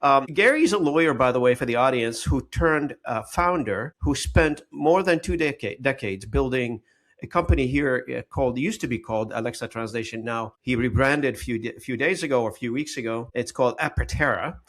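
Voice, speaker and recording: A man hosting a podcast interview in a conversational, somewhat halting and rambling manner. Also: mid-range voice, fairly bright tone. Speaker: podcast host.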